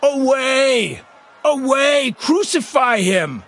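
A man's voice: on the high side, animated, breathy edge, heavily acted. A man voicing angry priests and accusers in a scripture story, crying out in an accusatory way. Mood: furious. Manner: shouting, accusatory, drawn-out cries.

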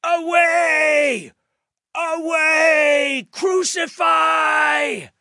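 A man voicing an angry mob of priests demanding an execution in a dramatic scripture telling, shouting vehemently. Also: very high-pitched, steady tone, extremely slow, no room sound, studio quality, pitched above the performer's own voice, full character voice. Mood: furious shouting.

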